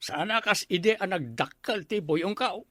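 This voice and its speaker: Casting: coaxing, teasing gym owner, very high bright voice, Ilocano accent, radio comedy. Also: male voice, unhurried, no echo. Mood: boastful, persuading.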